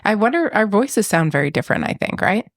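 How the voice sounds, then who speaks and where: A woman's voice: mid-range voice, sing-song, fast talker, vocal fry, dry recording, clean studio sound. A woman in her thirties co-hosting a podcast, chatting casually, joking at her own expense and laughing often. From New England. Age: 30s. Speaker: podcast co-host. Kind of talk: casual chat.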